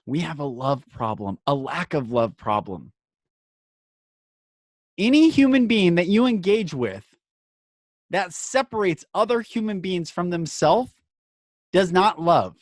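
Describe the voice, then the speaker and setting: A man hosting a podcast, speaking in an earnest, emphatic inspirational monologue broken into short phrases with dramatic pauses. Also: high-pitched, expressive, dry recording, clean studio sound.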